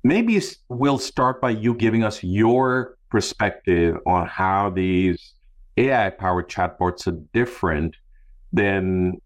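Low-pitched male podcast host. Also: slow. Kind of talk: conversational interview hosting.

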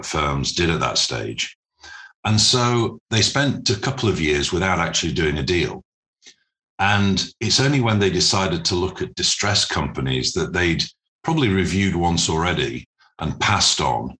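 A man speaking as a business interview guest, explaining things formally and deliberately, with measured phrasing and pauses. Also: deep voice, bright, forceful tone. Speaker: interview guest, private equity managing director.

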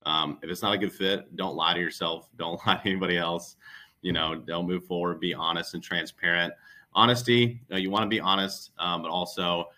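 A man's voice: sits low, steady tone, brisk, bright, forceful tone. A man speaking conversationally as a recruiter guest, coaching with emphatic, repeated phrases. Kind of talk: conversational interview advice.

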